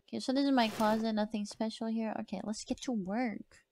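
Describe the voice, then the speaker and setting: A woman streamer with a soft voice, chatting casually as she plays a horror game and reads its lines aloud. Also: fairly high pitch, fairly even intonation, fairly quick, dry recording. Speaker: gaming streamer. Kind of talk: casual let's-play commentary.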